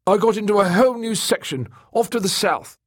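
A man voicing a small, fat, fussy male mapmaker in an audiobook, excitable, squawking and stubbornly optimistic. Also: high-pitched, moderate pace, breathy edge, no echo, clean studio sound, pitched above the performer's own voice, full character voice. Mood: excited, eager. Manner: squawking, flustered, eager. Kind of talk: audiobook character dialogue.

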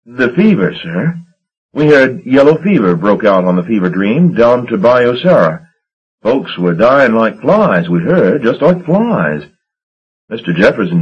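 A man's voice: fairly low pitch, slightly rough, voice pushed up, full character voice. A man voicing a flustered, gaunt young shipping agent who stammers and babbles. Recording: dull lo-fi recording.

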